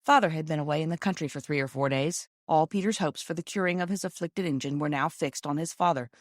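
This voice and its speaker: A woman's voice: low-pitched, fast talker, dry recording, studio quality. A woman reading a children's novel aloud as scripted audiobook narration. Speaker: audiobook reader.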